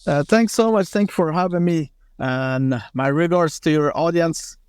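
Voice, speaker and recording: A man's voice: fairly high pitch, moderate pace, darkish tone, clear, resonant tone, no echo, clean studio sound. A man in his thirties from Afghanistan, speaking English as an in a casual interview, with many fillers and restarts. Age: 30s. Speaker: guest, former special forces commander.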